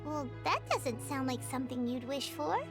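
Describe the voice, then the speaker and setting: A woman voicing a tiny, eager, childlike fairy companion in a video game, squeaky and excitable. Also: normal speed. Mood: chirpy, reassuring. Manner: excitable, refers to self in third person. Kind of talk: animated video game dub acting. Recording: music behind the voice.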